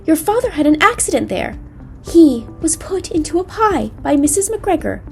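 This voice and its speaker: An old mother rabbit from a children's storybook, acted out by a woman, gently warning her young. The voice is lifted high and each phrase is emphatic and careful, with music in the recording. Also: sing-song, normal speed, gravelly, heavily acted.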